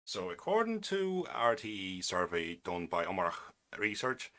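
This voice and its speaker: A man's voice: sits low, wildly swooping pitch, measured pace, pushed and bright. A man hosting his own podcast, reading a news article aloud and adding wry, opinionated asides with frequent restarts. English is not his first language. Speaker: podcast host.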